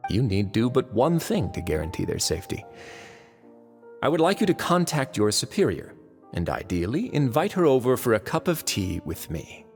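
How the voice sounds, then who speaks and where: An actor playing a suave, calculating fortress lord in a game, speaking with measured courtesy and veiled menace. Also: male voice, mid-range voice, very animated. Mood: calm menace. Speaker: voice actor in a dubbed video game. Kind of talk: theatrical game cutscene dialogue. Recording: long reverb under game music.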